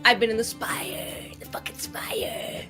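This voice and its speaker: A woman playing in a tabletop game, speaking quickly in a blunt, playful way. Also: pushed and bright, whispered, soft background music. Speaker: player. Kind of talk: casual tabletop play.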